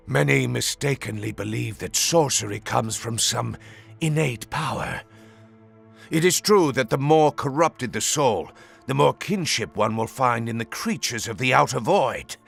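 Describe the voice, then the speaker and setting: A man playing a haughty, vengeful exiled sorcerer in a video game, pompous and commanding, with a gravelly, raspy voice. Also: medium pitch, pushed and bright, full character voice. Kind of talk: theatrical video game character dialogue.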